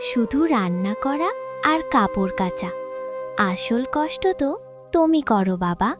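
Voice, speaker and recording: A woman playing a caring, stubborn young woodcutter's daughter in a cartoon, speaking affectionately with a gentle scolding manner. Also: a little high, animated, unhurried, over music. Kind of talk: cartoon voice acting.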